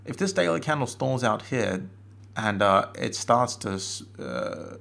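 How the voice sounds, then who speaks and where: A man's voice: mid-range voice, animated, measured pace, gravelly, fairly bright tone. A man with a cold and a strained voice giving a casual chart-analysis webinar, hesitating often with fillers and restarts. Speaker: forex trading analyst.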